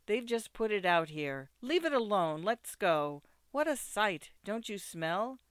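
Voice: medium pitch, big pitch swings, a little slow, fairly bright tone, smooth, no echo, studio quality, voice pushed up. A narrator voicing a flustered, feeble, exasperated young husband in a storybook, pleading and fretting. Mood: fretful.

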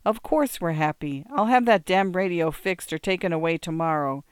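Reader doing a tired irritated husband, curt weary delivery, smooth clear tone, dry close sound. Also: male voice, high-pitched, moderate pace, no echo, natural voice. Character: tired, exasperated husband, reluctantly soothing.

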